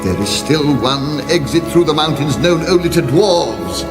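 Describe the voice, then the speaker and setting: A man's voice: a little high, animated, measured pace, music in the background. A man playing a pompous, busy, muddled wizard in mock-epic radio comedy, grand proclamations slipping into flustered muttering.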